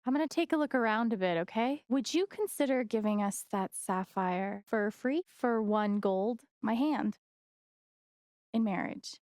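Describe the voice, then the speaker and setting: A woman voicing an earnest, gentle young game heroine with a wry playful side, in a casual, conversational way. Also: a little high, unhurried, dry recording, clean studio sound, natural voice. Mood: playful, quieter. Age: young adult. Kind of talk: naturalistic video game voice acting.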